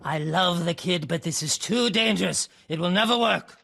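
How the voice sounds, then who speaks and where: A man acting a nervous, smooth-talking sake merchant and swindler in a video game cutscene, wheedling and excitable. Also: high-pitched, moderate pace, slight background noise, heavily acted. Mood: pleading, wary. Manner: wheedling, excitable, self-promoting. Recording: loud music behind voice.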